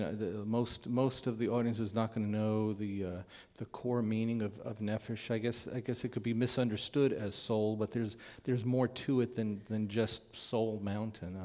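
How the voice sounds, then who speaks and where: A man's voice: on the low side, mellow. A man hosting a radio show, interviewing musicians informally and feeling his way through questions with repeated words. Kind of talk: informal live stage interview.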